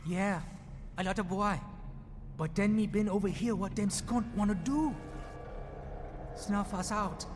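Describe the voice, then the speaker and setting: Very high-pitched Guyanese street boy. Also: a little slow, pauses often, noisy background. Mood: cocky, aggrieved. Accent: Guyanese Creole. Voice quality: youthful high voice. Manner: thick creole patois, cocky. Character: plucky streetwise Guyanese orphan boy, brash and boastful. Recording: long reverb under music.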